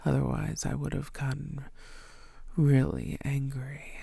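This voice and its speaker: Female vampire, played by a woman, intimate roleplay audio. Lowish-key menace, flirtatious and possessive, gravelly fry, very dry close sound, breathy half-whispers. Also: warm tone, no room sound, heavily acted. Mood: threatening. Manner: teasing, breathy half-whispers, slow deliberate delivery.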